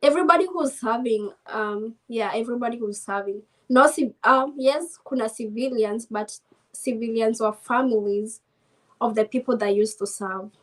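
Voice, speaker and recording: Young Kenyan woman, soft voice, relaxed interview guest, light and a bit high. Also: normal speed. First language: Swahili. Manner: soft-spoken, mixes Swahili and English, laughs often. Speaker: interview guest, navy sailor. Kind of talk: casual interview chat.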